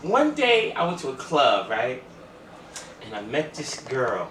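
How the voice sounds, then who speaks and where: A man's voice: mid-range voice, huge pitch swings, a little slow, a bit bright. A man speaks at a distance from the mic in a roomy, noisy space. He co-hosts a podcast with casual chat and storytelling, emphatic and slangy, often repeating himself. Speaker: podcast co-host. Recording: echoey room, distant mic, clear noise.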